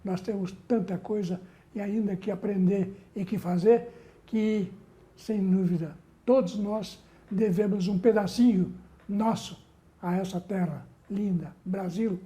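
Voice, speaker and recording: An older man from southern Brazil, a veteran bandleader being interviewed, who speaks haltingly and repeats words, affectionate and sentimental. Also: high-pitched, fairly even intonation, mellow. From Rio Grande do Sul, Brazil. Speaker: guest, veteran musician and bandleader. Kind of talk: conversational interview.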